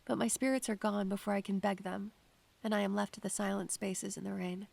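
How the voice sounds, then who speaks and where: A woman playing a melancholy, introspective young female student in an audio drama, speaking in a wistful, measured way. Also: mid-range voice, steady tone, vocal fry, darkish tone. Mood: wistful, brooding. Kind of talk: audio drama narration and acting.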